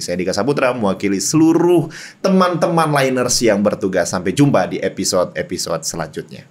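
A young man hosting a podcast interview, keeping it friendly with short prompts and wrapping up the episode. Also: sits low. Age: young adult. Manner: friendly, brief prompting backchannels. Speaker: podcast host. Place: small studio with foam panels. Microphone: desk mic on boom arm.

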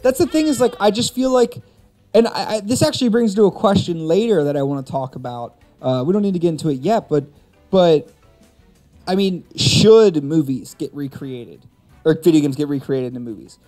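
A man's voice: fairly high pitch, lively intonation, normal speed, warm tone. A man hosting a live stream, chatting casually and giving opinions with emphatic, rhetorical build-up. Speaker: host of a gaming and movie live stream. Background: background music.

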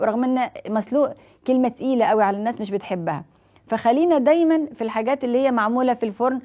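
Fast-talking Egyptian woman doctor. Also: fairly high pitch, darkish tone. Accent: Egyptian Arabic. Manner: rapid, explanatory, asks and answers her own questions. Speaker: nutrition doctor hosting a TV health show. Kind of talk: explaining diet advice to viewers. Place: TV studio set, indoors.

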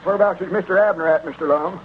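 An actor playing a simple, put-upon young store helper with a rural Southern accent, folksy and plaintive, in old-time radio comedy. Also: male voice, high-pitched, quick pace, lightly acted. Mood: earnest. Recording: tinny, noisy old radio recording.

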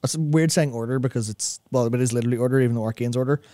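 A man's voice: medium pitch, very fast, warm tone, no room sound. A man in his thirties chatting casually and analytically as a co-host, explaining things in a rambling, self-correcting way into a close studio microphone. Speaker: co-host of a gaming discussion show. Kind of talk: casual analytical chat about game lore. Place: small indoor studio with acoustic foam. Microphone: close broadcast microphone on boom arm.

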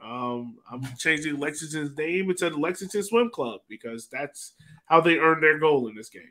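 A man's voice: on the high side, lively intonation, normal speed, sharp tone. A man hosting a casual soccer discussion over a video call, moving the panel along. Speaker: soccer podcast host. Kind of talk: casual chat, moderating discussion.

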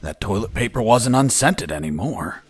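An actor playing a gruff, wisecracking soldier in a video game, with a husky voice and deadpan delivery. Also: male voice, lowish voice, normal speed, no echo. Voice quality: husky, gravelly. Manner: deadpan, dry. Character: gruff male soldier recounting his prison escape, deadpan wisecracker. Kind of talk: video game voice acting, narration.

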